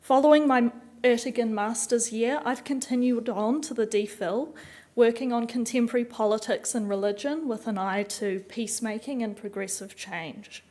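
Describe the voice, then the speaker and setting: A woman's voice: on the high side, normal speed, mellow. A young woman with a New Zealand accent gives an earnest, composed prepared speech as a doctoral student.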